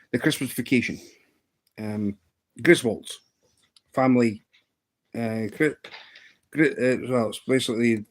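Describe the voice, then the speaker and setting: Slow-talking Scottish man in his fifties. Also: mid-range voice. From Scotland. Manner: rambling and playful, many ums and restarts. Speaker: livestream host. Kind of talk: casual live-stream chat.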